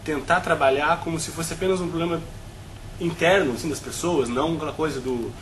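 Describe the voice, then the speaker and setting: A man's voice: fairly high pitch, fairly quick, slight background noise, low-quality recording. A Brazilian man on a film review panel, talking at length with frequent restarts and tag questions.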